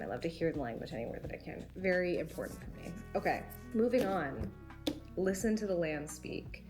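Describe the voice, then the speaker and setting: Chatty low-voiced woman. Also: darkish tone. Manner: enthusiastic, chatty, rambling. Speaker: book vlogger. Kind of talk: casual vlog chat.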